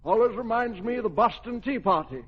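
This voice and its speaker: An actor in an old radio comedy playing an eccentric, boastful old military general with a folksy, blustering manner. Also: male voice, sits high, animated, a little fast, crowd noise. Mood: boastful, eager. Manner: folksy, blustering, barking commands. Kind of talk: 1940s-50s radio comedy acting. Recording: muffled old radio recording, faint noise.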